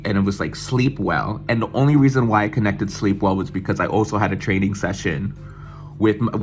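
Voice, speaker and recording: A young man from the United States telling an excited, swearing storytime vlog and mimicking other people's voices as he goes. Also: fairly low pitch. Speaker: vlogger. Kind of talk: casual storytime chat.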